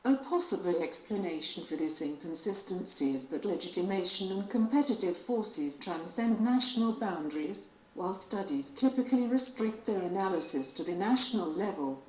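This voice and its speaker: A woman's voice: lowish voice, animated, normal speed, hardly any pauses, a little mellow. A woman reading formal academic passages aloud as a test example. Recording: echoey room.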